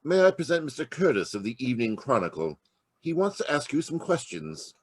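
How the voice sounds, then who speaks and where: A man acting as a formal, guarded gentleman of a wealthy household in an old-time radio mystery. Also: mid-range voice, very animated, fairly quick, slight background noise, close to an everyday voice. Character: formal, guarded adult son of murdered millionaire. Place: remote video call performance.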